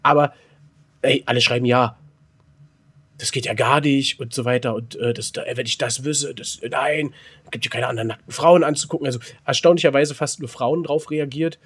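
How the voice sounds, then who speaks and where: A man's voice: mid-range voice, fairly even intonation, moderate pace, fairly bright tone, slightly rough. A middle-aged man co-hosting a podcast in casual, bantering chat, talking in a blunt, colloquial way with some crude slang. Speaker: podcast co-host.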